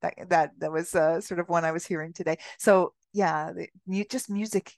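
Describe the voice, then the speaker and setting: Brief: woman guest, lower voice, dry close sound, laughs a lot, muses aloud. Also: fairly even intonation, normal speed, no echo. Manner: laughs often, rambling and reflective. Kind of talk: casual podcast conversation.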